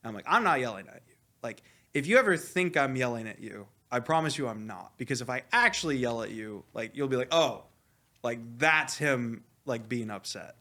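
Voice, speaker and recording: A man speaking as a coach in a casual interview, animated and blunt, rambling with lots of "like" and some swearing. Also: medium pitch, normal speed. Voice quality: loud voice. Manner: animated, rambling, heavy use of "like". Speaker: esports coach being interviewed. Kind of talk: casual, candid interview talk.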